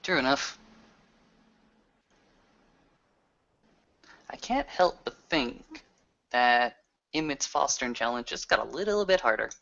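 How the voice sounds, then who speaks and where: A man playing an earnest, reflective werewolf in an improvised roleplay, talking in a deliberate, halting way. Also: mid-range voice, lively intonation, vocal fry, sharp tone. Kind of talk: improvised in-character roleplay conversation. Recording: dull lo-fi voice recording.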